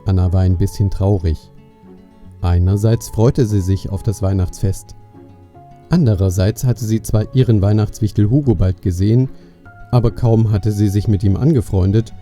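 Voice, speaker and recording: A man's voice: on the low side, mellow. A man narrating a scripted Christmas story for children as its storyteller.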